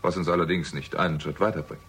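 A dry, probing detective type, low husky voice, laconic, old radio crime play. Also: male voice, steady tone, quick pace, fairly bright tone. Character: dry, probing male theatrical agent turned investigator. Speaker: lead actor and narrator. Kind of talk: 1960s German radio crime drama.

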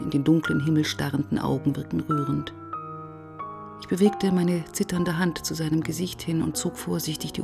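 A probing, reflective police inspector in a radio crime drama. Her voice is on the low side, controlled and thoughtful, with music faintly underneath. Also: vocal fry. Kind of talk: radio crime drama, first-person narration and dialogue.

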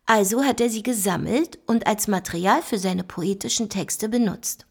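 A female investigator in a radio drama, analytical and literate. Her is deliberate and explanatory, with a husky, gravelly edge. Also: medium pitch, darkish tone. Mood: reflective, explaining. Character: analytical woman investigator puzzling out a secret code. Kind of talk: German radio play dialogue.

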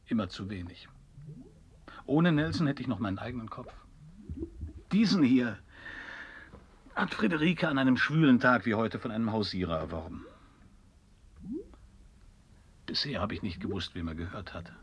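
An actor playing a stubborn, wordy seafarer in a German radio play, speaking in a dry, formal, pedantic manner. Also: male voice, medium pitch, animated, normal speed, pauses often, slightly rough. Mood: wry, chatty. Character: stubborn, wordy male old seafarer wearing a borrowed head.

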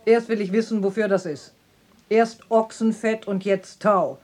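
Woman acting a slow-talking, sceptical servant woman. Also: mid-range voice, fairly even intonation, darkish tone, lightly acted. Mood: suspicious, scolding. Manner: scolding, down-to-earth. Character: earthy, sceptical, mocking simple servant woman, plainspoken and pious. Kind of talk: German radio drama acting.

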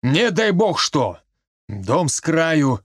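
A man reading a story aloud and voicing a gruff, decisive village major who gives short orders. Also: fairly high pitch, big pitch swings, drawn-out words, no echo, clean studio sound, exaggerated voice. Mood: firm.

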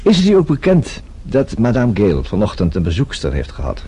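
An actor playing a suave gentleman in a radio play, making discreet inquiries in a polite, slightly hesitant manner. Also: on the low side, huge pitch swings, brisk, exaggerated voice. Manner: polite, hesitant, smooth-talking. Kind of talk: radio drama acting.

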